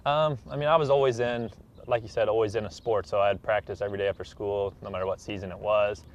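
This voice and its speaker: A man's voice: fairly low pitch, fairly even intonation, fast talker. A man, answering casually as an athlete being interviewed. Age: 20s. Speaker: interview guest, professional track athlete. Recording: dull, slightly noisy room recording.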